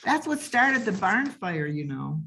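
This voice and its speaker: A folksy servant, played by a woman, delivers bad news in an old-time radio comedy. The speech is unhurried and hesitant, apologetic yet blithely understated, at a middling pitch. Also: big pitch swings, pushed and bright, slight background noise, full character voice. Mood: matter-of-fact.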